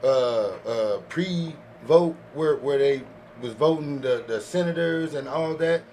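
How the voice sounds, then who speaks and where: Higher-pitched man on a casual podcast. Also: a little slow, darkish tone, slight background noise. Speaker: podcast panelist. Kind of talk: casual chat.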